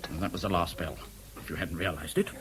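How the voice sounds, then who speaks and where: An actor playing a stubborn, stuffy schoolteacher with an English accent, pompous and peevish, in a 1970s radio drama. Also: male voice, low voice, steady tone, fast talker, fairly bright tone. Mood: resentful, dismissive. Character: stubborn, stuffy male schoolteacher, prickly and resentful of change.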